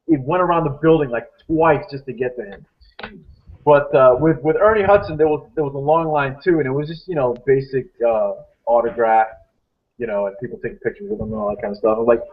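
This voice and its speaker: A man's voice: mid-range voice, a little fast. A man in his thirties hosts a loose live-stream chat. He rambles, doubles back on his words and argues his view with conviction. His mid-range voice sounds dull and muffled, as if recorded on a lo-fi setup. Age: 30s. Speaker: host. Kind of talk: casual panel chat.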